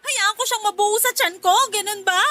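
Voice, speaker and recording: A woman's voice: very high-pitched, fairly quick, pushed and bright, faint music behind. A woman playing a defiant, distraught young pregnant daughter in a Filipino radio drama, bitter and whining as she argues. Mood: desperate.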